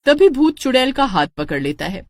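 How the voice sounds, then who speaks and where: A woman's voice: high voice, very animated, normal speed, darkish tone, dry recording. A woman giving scripted narration for a cartoon story.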